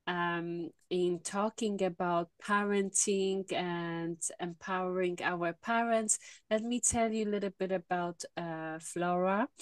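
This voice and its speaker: A woman co-hosting an online summit, introducing the guest in a deliberate, upbeat way over a video call. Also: medium pitch, no room sound. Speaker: co-host, leadership coach.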